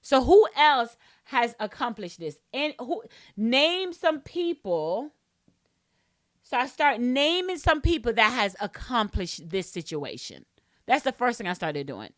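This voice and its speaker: A woman's voice: fairly high pitch, very animated, measured pace, no echo, clean studio sound. A woman with a strong Jamaican accent, a podcast host teaching business mindset in a casual, emphatic way, often repeating and restarting phrases.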